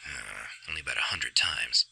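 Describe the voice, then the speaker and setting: A man playing a gruff veteran covert operative in a radio drama, with a gravelly, husky voice and a deadpan, terse, teasing delivery. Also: very deep voice, normal speed, slight background noise, exaggerated voice, rough recording. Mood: dry teasing.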